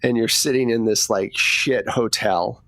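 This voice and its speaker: A man's voice: medium pitch, fairly even intonation, measured pace, a bit bright, dry recording. A man narrating a tabletop game as game master, improvising in a casual, conversational way.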